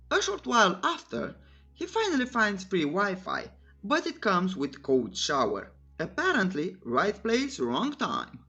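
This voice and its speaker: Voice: mid-range voice, fairly bright tone. A narrator giving a scripted story recap in an informal, wry way, breaking off for joking asides. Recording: clear music bed under voice. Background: background music.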